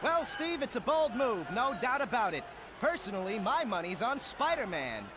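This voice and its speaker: An excitable, opinionated color commentator, pitched very high with animated swings. It is live game-world sports commentary with clear noise behind. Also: male voice, moderate pace. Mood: enthusiastic. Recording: noisy broadcast from an arena.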